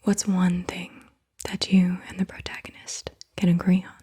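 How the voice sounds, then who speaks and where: A woman hosting ASMR, speaking softly and deliberately in a breathy whisper. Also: lowish voice, monotone. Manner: soft-spoken, deliberate questioning. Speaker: ASMR host.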